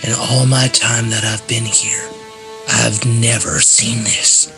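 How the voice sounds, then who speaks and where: An actor playing an uneasy local guide to a haunted place in an audio play, casual and hesitant, slowing for eerie emphasis. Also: male voice, mid-range voice, fairly even intonation. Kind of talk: naturalistic spooky audio play acting. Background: music.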